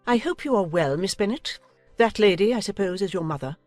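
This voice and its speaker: A woman's voice: medium pitch, lively intonation, a little fast, darkish tone, dry recording, natural voice. Woman playing haughty old lady of rank, audiobook drama, stiff formal delivery, sharply varied intonation, music behind. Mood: stiff, disdainful. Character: haughty, imperious, ill-tempered older English noblewoman.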